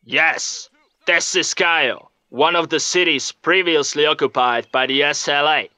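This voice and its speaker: A man playing a grave army captain who speaks solemnly and deliberately in a game's news interview. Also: fairly high pitch, pushed and bright, clean tone, no room sound. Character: grave, indignant male army captain of a fictional kingdom. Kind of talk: field interview in a game news cutscene.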